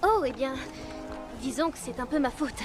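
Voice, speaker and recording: A woman voicing an eager, chatty, impulsive young princess in an animated film dub. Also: high voice, normal speed, over loud music. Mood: flustered.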